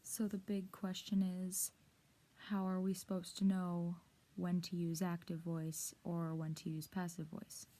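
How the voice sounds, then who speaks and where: Slow, mellow low-voiced woman teaching. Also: level tone. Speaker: presenter of a grammar lesson. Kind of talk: scripted instructional narration.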